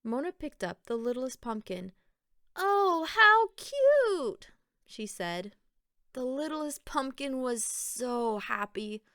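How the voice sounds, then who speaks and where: A woman narrating a children's picture book aloud in a deliberate, expressive storytelling manner. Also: a little high, no room sound, clean studio sound.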